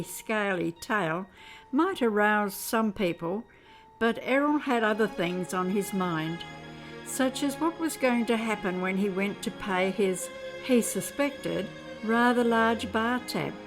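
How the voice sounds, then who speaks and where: A woman reading scripted narration for a science fiction audio drama. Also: medium pitch.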